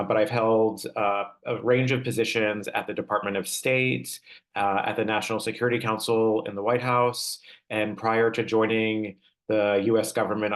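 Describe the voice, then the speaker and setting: An American man in his forties speaking in a measured, earnest way as he introduces himself as a panelist. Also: lowish voice. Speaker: career panelist. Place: room at home, video call.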